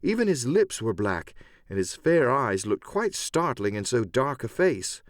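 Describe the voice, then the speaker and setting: A man giving dramatic, measured, theatrical narration of an adventure novel. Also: mid-range voice, sing-song, dry recording, studio quality. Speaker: audiobook narrator.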